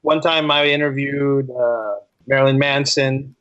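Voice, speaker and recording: A man's voice: medium pitch, steady tone, measured pace, a bit bright, dry recording. A man speaking as a podcast guest, telling stories conversationally in an earnest, emphatic way that repeats phrases. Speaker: podcast guest, writer and teacher. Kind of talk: casual conversational storytelling. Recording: dry, slightly dull lo-fi line.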